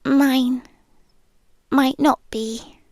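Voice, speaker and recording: A woman acts out a possessive, teasing phantom girlfriend with a British accent. Her voice is high and soft, often slipping toward a whisper. She takes her time, lingering in slow, deliberate phrases, very close and dry on the microphone in an intimate ASMR roleplay. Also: steady tone, dry recording, heavily acted. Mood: hushed, eerie. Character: possessive, teasing yandere girlfriend spirit living in listener's head.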